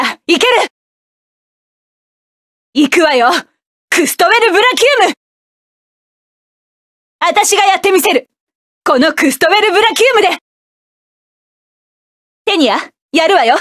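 A voice actress playing a proud young mech pilot in a game, shouting commanding, defiant battle lines. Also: female voice, very high voice, animated, moderate pace, long pauses, no echo, clean studio sound. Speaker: mecha pilot character.

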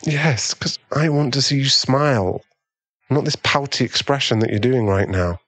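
A man playing a doting, easily swayed millionaire boyfriend in an ASMR roleplay, pleading and halting, with breathy sighs. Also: medium pitch, fairly bright tone, no room sound, lightly acted. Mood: anxious, pleading.

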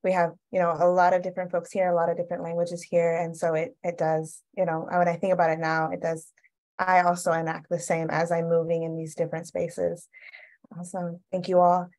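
Low-voiced woman moderating a panel. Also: monotone, brisk, darkish tone. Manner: affirming, conversational. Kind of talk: moderating a video call panel. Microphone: video call.

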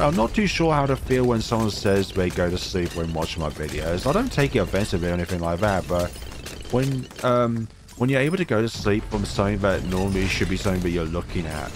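Deep-voiced man with a cold. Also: vocal fry. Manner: calm, rambling, chatty tangents. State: has a cold. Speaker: gaming channel host. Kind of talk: relaxed gameplay commentary. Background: game music.

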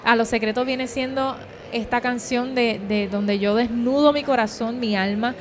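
A woman's voice: a little high, fairly even intonation, moderate pace. A young woman with a Puerto Rican accent giving an earnest, hesitant personal testimony in an interview, on a handheld microphone. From Puerto Rico. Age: young adult. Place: convention booth. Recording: crowd chatter at event.

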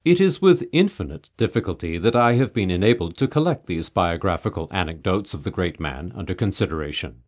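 A man reading a literary tale aloud as a narrator, in a measured, formal storytelling manner. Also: low voice, expressive. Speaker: audiobook narrator.

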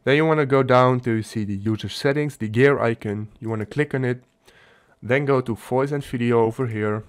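A man narrating a casual software tutorial as its host, explaining step by step in a halting way. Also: medium pitch, clean tone.

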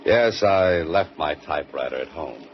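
An actor playing a wry mystery writer on the run, answering everyone with dry wisecracks, on an old radio drama recording. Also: male voice, extremely low pitch, lively intonation, moderate pace, lo-fi sound, over loud music. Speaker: lead actor and narrator.

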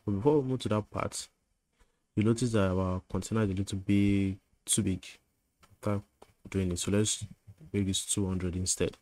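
Low, flat-voiced man walking through code. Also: normal speed. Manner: talks while typing, thinks aloud with fillers and self-corrections. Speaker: coding tutor. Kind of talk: screen-recorded coding tutorial.

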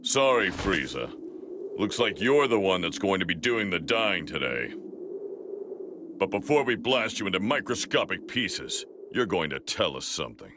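A man's voice: low voice, sing-song, moderate pace, background noise. An actor playing a stern, tough male alien warrior in an anime video game, blunt and threatening.

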